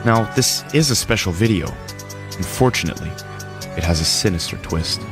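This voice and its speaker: A man with a very deep voice narrates a video clip in a dramatic, announcing tone. Music plays clearly beneath him. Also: animated, normal speed. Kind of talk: narration of a played online video.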